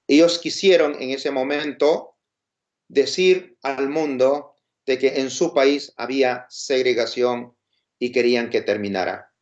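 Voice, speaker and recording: A man with a Peruvian Spanish accent giving an informal, explanatory lecture, posing questions and checking in with his listeners. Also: medium pitch. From Peru. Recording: dull, lo-fi sound.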